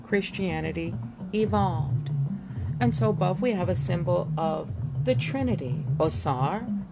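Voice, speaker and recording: A woman reading a history book aloud expressively, with theatrical emphasis and pauses. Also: on the low side, expressive, vocal fry, very noisy. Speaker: book reader. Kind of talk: expressive read-aloud of an illustrated history book, with spoken asides. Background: music.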